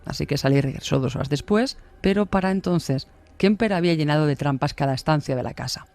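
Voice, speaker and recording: A man's voice: fairly high pitch, brisk, a little mellow. A man delivering a dramatic, scripted true-crime narration. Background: music.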